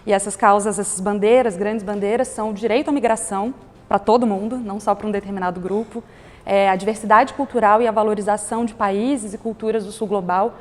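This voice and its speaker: Female panel guest, explanatory, mid-pitched, roomy hall sound. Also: fairly even intonation, a little fast. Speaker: guest, director of a cultural organization. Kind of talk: panel talk. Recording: hall reverb.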